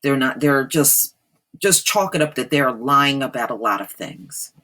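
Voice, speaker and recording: A woman commentator with a husky voice, reading aloud and commenting emphatically as she addresses her listeners directly. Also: very deep voice, lively intonation, a little slow, sharp tone. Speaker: online commentator and host.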